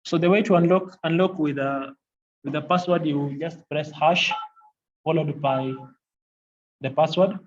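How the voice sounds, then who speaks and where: Higher-voiced man, halting tutorial, dull audio. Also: steady tone. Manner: halting, repetitive explaining. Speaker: technical trainer. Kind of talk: software demonstration tutorial. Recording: dull lo-fi audio, faint noise.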